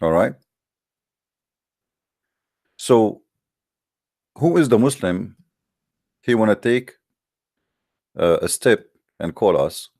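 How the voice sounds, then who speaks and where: A man's voice: on the low side, expressive, slow, vocal fry, pauses often, dark tone, no echo, studio quality. A man from the Middle East, a native Arabic speaker with an Arabic accent in English, hosting a religious call-in debate with a combative, boastful, emphatic monologue in short phrases.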